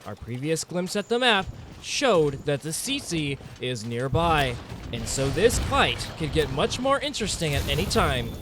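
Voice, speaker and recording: A man narrating video game footage in a dramatic, documentary-like storytelling style with formal, measured wording. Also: on the high side, very animated, fairly bright tone, a little hiss or hum. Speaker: game streamer narrating own hunt. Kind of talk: scripted-sounding nature documentary style game commentary. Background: game music under the voice.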